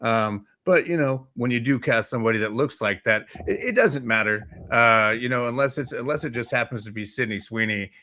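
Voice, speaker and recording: A man's voice: mid-range voice, brisk, few pauses, sharp tone, a little hiss or hum. A man chatting casually as a talk show host, rambling and hesitant, often repeating his words. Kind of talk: casual chat.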